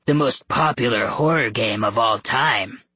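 A man voicing a monstrous, bloodthirsty wolf-like villain in an audiobook, with a deep growl and a commanding, boastful manner. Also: pushed and bright, breathy edge, no echo. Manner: commanding, slow, menacing.

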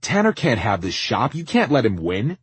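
Man playing an intense, husky young man. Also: very deep voice, steady tone, normal speed, dry recording, heavily acted. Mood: heated, desperate. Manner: earnest, intense. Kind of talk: audiobook character acting.

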